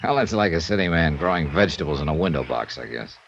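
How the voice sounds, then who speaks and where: An actor playing a wry, easygoing insurance investigator who narrates in a dry, laconic first person, in a 1950s radio drama. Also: male voice, extremely low pitch, expressive, fast, creaky, noisy background, heavily acted. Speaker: narrator and lead. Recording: dull old radio broadcast with music.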